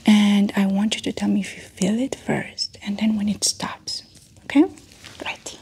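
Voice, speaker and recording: A woman doing a soft-spoken ASMR medical roleplay as a doctor, often whispering, with gentle, reassuring step-by-step instructions. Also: mid-range voice, level tone, unhurried, slightly rough. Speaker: ASMR performer playing a doctor.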